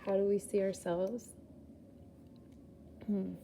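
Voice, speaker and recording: A woman's voice: medium pitch, little pitch movement, takes it slowly, creaky, warm tone, slight background noise. A woman giving a casual, chatty tarot reading, rambling and laughing at herself, a little groggy after a poor night's sleep. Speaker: tarot reader.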